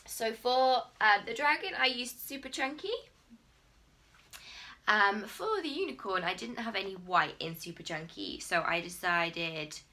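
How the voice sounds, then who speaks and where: An English woman in her thirties hosting her own crochet video podcast, her voice croaky, chatting warmly with plenty of ums. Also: mid-range voice, measured pace. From England. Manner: chatty and friendly, many ums. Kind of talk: casual solo video podcast.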